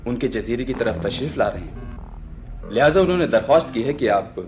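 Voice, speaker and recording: An actor playing a royal envoy and army commander in a radio play, formal and ceremonious. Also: male voice, mid-range voice, expressive, moderate pace, darkish tone, faint music behind, close to an everyday voice. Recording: noisy radio recording.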